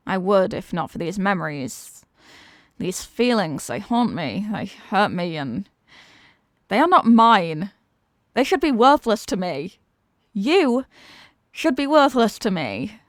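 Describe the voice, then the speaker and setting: A woman playing a menacing, mocking demon villainess in a fantasy audio roleplay, theatrical and taunting, with deliberate dramatic pauses. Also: medium pitch, dry recording, studio quality. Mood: tormented. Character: possessing demon villainess, menacing, mocking, tormented by borrowed love.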